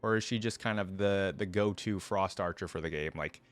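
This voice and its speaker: A man's voice: sits low, normal speed. A man hosting a casual reaction video, musing aloud in an informal way. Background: music from the video being watched.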